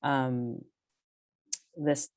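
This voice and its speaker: A woman's voice: very deep voice, flat delivery, very slow, darkish tone. A woman speaking as a guest in an online panel discussion.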